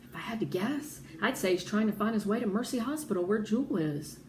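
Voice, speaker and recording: A woman's voice: mid-range voice, expressive, brisk, darkish tone, natural voice. A woman voicing a tough, blunt street woman from a children's novel, speaking emphatically. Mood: matter-of-fact. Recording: roomy echo with background noise.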